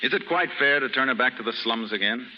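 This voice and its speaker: An actor playing an earnest, protective and quietly wounded man in a 1930s radio drama, sincere and plain-spoken. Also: mid-range voice, lively intonation, quick pace, lightly acted. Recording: muffled, reverberant, hissy 1930s radio recording.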